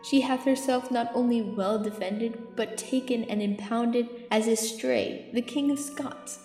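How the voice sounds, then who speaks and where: A woman's voice: medium pitch, mellow, close to an everyday voice. Woman playing an eloquent archbishop, drenched in echo. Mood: reassuring, proud. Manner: declamatory, rhetorical. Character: eloquent, zealous archbishop counselling a king. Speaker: amateur actor in student audio drama. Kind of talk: Shakespearean verse acting. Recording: echoey hall reverb, music underneath.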